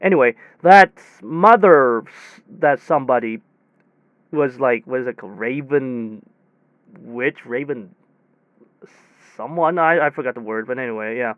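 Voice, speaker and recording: A man playing a rattled technician in an audio drama, telling his story conversationally in halting, repetitive bursts full of false starts and self-corrections. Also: mid-range voice, lively intonation, clear, resonant tone, dry recording, phone-quality sound, lightly acted. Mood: groping, uncertain. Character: rattled, rambling young technician retelling a bizarre demon encounter. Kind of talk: hobby audio drama monologue, conversational retelling.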